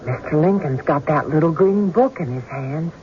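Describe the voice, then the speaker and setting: Man acting nervy courtroom onlooker, really high voice, muffled old radio play. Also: normal speed, lightly acted. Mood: anxious, curious. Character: anxious, watchful onlooker at a trial. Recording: dull, faintly noisy radio recording.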